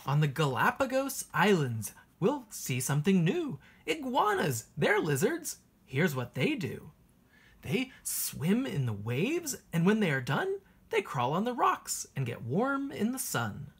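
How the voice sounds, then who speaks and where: Female storybook reader: unhurried, sing-song teacher delivery, strongly animated intonation, breathy husky tone. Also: fairly low pitch. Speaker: teacher reading a children's book aloud. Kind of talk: expressive picture-book read-aloud for young children.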